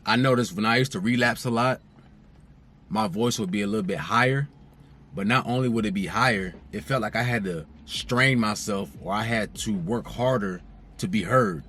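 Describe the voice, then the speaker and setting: A man's voice: on the low side, normal speed, fairly bright tone, a little hiss or hum. A young man talking casually to his viewers as a vlogger, laid-back and conversational, repeating phrases for emphasis. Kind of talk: casual direct-to-camera vlog talk.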